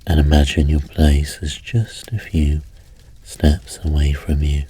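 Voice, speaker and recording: A man guiding sleep hypnosis in a soft, breathy voice, calm and gentle, with measured pauses. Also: very deep voice, no echo. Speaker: hypnosis and meditation host. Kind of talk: guided sleep hypnosis, slow soothing narration.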